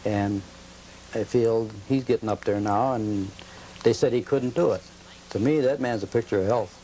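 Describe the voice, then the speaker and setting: Casting: male collector guest, mellow dark tone, casual talk, slight tape noise. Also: fairly low pitch, moderate pace, low-quality recording. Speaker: collector being interviewed.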